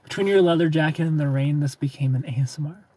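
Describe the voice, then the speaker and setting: Man in his thirties, husky voice, playful and teasing, live-stream chat, phone close up. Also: a little high, quick pace, darkish tone, rough recording. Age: 30s. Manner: playful, teasing, laughs often. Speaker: live streamer reading comments. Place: parked car at night, neon lights. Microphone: phone held close.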